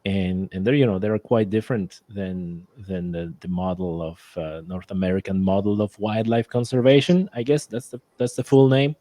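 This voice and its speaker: Polish guy, accented english, deep low voice, dry sound, keeps restarting sentences. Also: fairly even intonation, moderate pace, hardly any pauses, dark tone, dry recording. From Poland. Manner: hesitant, restarts phrases, non-native grammar. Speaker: guest. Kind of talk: casual conversation.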